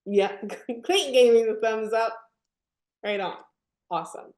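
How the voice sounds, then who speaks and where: A woman presenting a software demo on a live video call, upbeat and often asking her audience questions. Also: fairly high pitch, a little slow, reverberant. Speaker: product presenter. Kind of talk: live webinar product demo.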